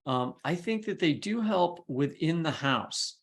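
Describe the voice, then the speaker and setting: A man's voice: a little high, lively intonation, measured pace, slightly rough. A man co-hosting a podcast, talking casually and informally with blunt, opinionated delivery. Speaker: writer and podcast co-host.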